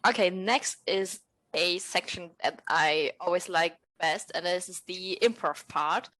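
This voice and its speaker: Slow-talking woman podcast host. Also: fairly low pitch, sharp tone, low-quality recording. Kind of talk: hosting a podcast.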